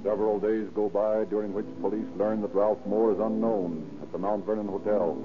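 An actor as the formal, dramatic narrator of a 1930s radio crime story, on a dull old recording. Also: male voice, fairly low pitch, steady tone, a little fast, lightly acted. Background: loud music.

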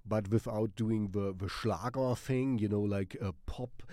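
German guy with a cold, low voice, dry close sound, rambling and hesitant. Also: fairly even intonation, unhurried, mellow, dry recording. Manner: rambling, hesitant, full of fillers and restarts; swears casually and laughs often. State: has a cold. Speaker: music channel host. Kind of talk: casual music recommendation vlog.

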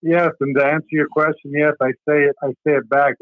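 Male caller, earnest, flat delivery, phone-line sound. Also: a little high, fairly quick, no echo. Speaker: call-in guest.

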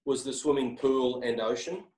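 A man asks long, winding interview questions, stumbling over repeated words and filling pauses with hedges. His voice sits at a middle pitch and rings with noticeable room echo. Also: a little mellow. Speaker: interviewer, company executive. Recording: echoey room.

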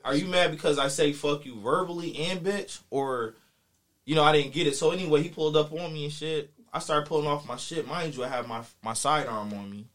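A man's voice: a little high, a little fast, fairly bright tone. A man with a US East Coast accent telling a story in casual chat, slangy, profane and boastful.

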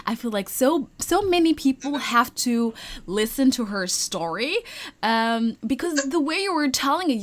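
Lively, excitable woman podcast host. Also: fairly high pitch. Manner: gushing, excitable, quick laughs. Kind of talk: casual interview chat.

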